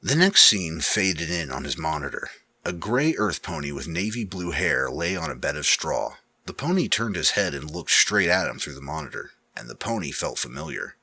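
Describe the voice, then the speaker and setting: A man narrates a story in a very deep, forceful voice with a rough, husky edge. His delivery is dramatic and swings widely in pitch, and the recording is dry and close. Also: sing-song, moderate pace, no room sound. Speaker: narrator of fan fiction readings.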